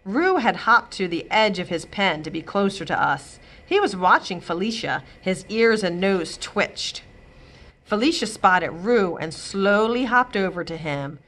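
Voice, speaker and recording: Woman librarian, storybook read-aloud, animated and expressive, mid pitch. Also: unhurried. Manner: expressive, lively character voices. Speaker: children's librarian reading aloud. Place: library, indoors.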